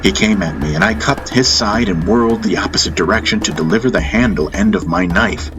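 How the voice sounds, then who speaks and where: A man's voice: fairly low pitch, fairly quick. A man narrating a fantasy audiobook in the first person, with intense, solemn, dramatic storytelling. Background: music bed.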